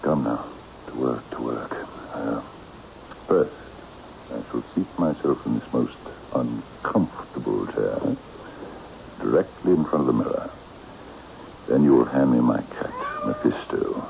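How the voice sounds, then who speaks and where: An actor playing an imperious, vain magician in a radio mystery, theatrical and domineering, sinking into intense whispers. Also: male voice, very deep voice, a little slow, gravelly, long pauses, full character voice. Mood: menacing, smug. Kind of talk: radio mystery drama acting. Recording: dull, noisy old radio recording.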